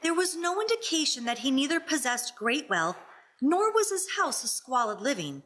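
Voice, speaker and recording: A woman's voice: on the high side, sharp tone. A woman reading scripted story narration as a radio play narrator.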